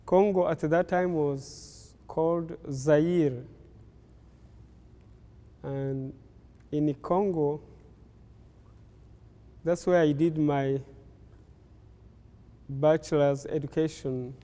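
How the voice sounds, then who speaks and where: An older man from Rwanda, a native Kinyarwanda speaker, recalling his life story slowly, pausing often with fillers. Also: fairly high pitch, steady tone, warm tone, long reverb tail. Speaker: interviewee. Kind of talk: slow life-story recollection.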